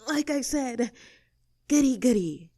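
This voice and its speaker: A woman's voice: high-pitched, animated, takes it slowly, warm tone, dry recording, clean studio sound, heavily acted. A woman playing a cocky, rebellious young mean girl in an audio roleplay, snarky, bossy and self-assured as she talks to the listener. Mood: teasing.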